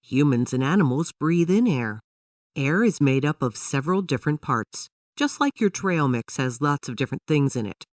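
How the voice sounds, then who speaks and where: A woman's voice: deep voice, animated, moderate pace, a little mellow, dry recording, clean studio sound, recorded from a distance. A woman voicing a patient father who explains things to his young daughter in a children's storybook, in an instructive manner. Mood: explaining.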